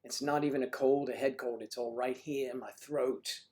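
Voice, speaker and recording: Hoarse older man joking with viewers. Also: medium pitch, steady tone, moderate pace. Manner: teasing and flirty, often drops to a soft mutter. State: hoarse, losing his voice. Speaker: livestream host. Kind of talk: casual livestream chat with viewers.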